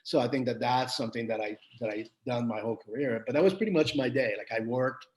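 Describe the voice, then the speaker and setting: Interview guest, French Canadian man, faint Quebec accent; animated storyteller with many restarts. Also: mid-range voice, fast talker. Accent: slight Quebec French. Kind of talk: casual interview conversation.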